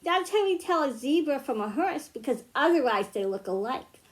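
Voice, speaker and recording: A woman's voice: high-pitched, sing-song, normal speed. An American woman speaking as a children's librarian at storytime, reading aloud and explaining things simply to young children. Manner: simple, child-directed phrasing with questions to listeners. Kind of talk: picture-book read-aloud and simple teaching for young children.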